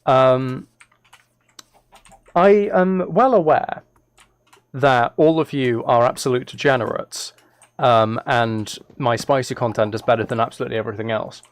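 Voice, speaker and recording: A man's voice: medium pitch, measured pace, pauses often, clean tone, dry recording. A man chatting casually on a live gaming stream, thinking aloud in a hesitant, rambling way.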